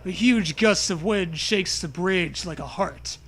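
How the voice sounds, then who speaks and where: A man's voice: sits high, measured pace, fairly bright tone, a little hiss or hum. A man co-hosting a comic dramatic reading of a horror story, with crude, jokey asides. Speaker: co-host, reader.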